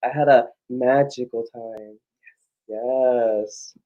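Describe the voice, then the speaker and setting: Slow, theatrical drag queen story reader. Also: mid-range voice, fairly even intonation, warm tone, lo-fi sound. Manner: theatrical, encouraging, drawn-out delivery. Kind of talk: children's read-aloud with playful banter.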